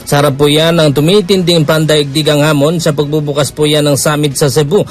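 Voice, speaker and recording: A man's voice: a little high, fairly quick. Man filing a formal radio news report. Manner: steady formal reading with brief hesitations. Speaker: field reporter.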